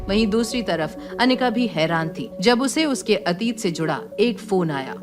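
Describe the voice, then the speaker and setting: A woman's voice: mid-range voice, expressive, normal speed, over music. A woman narrating a serial audio drama in a scripted storytelling style.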